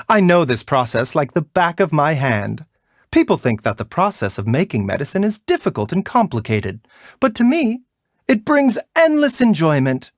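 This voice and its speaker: An actor playing a gentle, composed herbal doctor in a video game, polite and measured in manner. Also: male voice, fairly high pitch, no room sound, clean studio sound. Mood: quietly enthusiastic. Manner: soft-spoken, polite, measured, bedside courtesy. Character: gentle, courteous, composed male herbal doctor, frail yet unhurried. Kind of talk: video game character voice lines.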